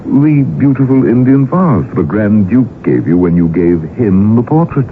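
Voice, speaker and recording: An actor playing a proud, lovelorn, jealous portrait painter in a radio drama. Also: male voice, lowish voice, lively intonation, measured pace, narrow, tinny audio. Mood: wistful, probing. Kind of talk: 1980s radio drama acting. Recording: noisy band-limited old radio broadcast recording.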